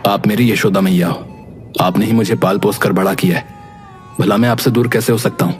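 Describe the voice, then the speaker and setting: A man's voice: fairly low pitch, fairly even intonation, fairly quick, close to an everyday voice. A man voicing a wounded, indignant young long-lost twin in an emotional story drama with music behind. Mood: pleading, earnest.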